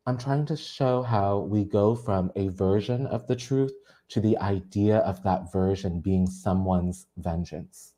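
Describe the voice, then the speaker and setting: Guest poet, Vietnamese first language, low register, earnest, quick to laugh. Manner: earnest, animated, laughs often. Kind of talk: reflective conversation on craft.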